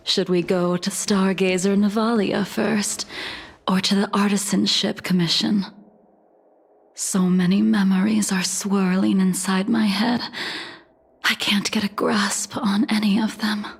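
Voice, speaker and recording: A woman playing a serene, world-weary, centuries-old swordswoman in a video game, speaking in a measured, formal, wistful way. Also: mid-range voice, fairly even intonation, vocal fry, slightly rough, heavily acted.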